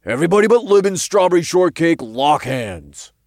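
Man as cocky male imp sidekick, raised pitch, swooping delivery, slangy, boastful, audiobook fantasy. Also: big pitch swings, moderate pace, a little mellow, dry recording, clean studio sound. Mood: bossy. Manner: brash, theatrical, boastful.

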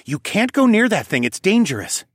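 A man voicing an emotional, protective father in an audiobook, talking a little higher than his narration. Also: very animated, normal speed, slightly rough, no room sound, studio quality, heavily acted. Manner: earnest, grumbling.

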